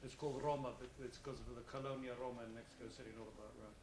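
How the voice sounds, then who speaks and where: A man's voice: mid-range voice, steady tone, racing, noisy background. Older accented man moderating a conference panel, digressive, in an echoey hall. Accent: non-native English, foreign-accented. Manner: rambling, digressive, full of asides. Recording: roomy conference hall with faint ambience.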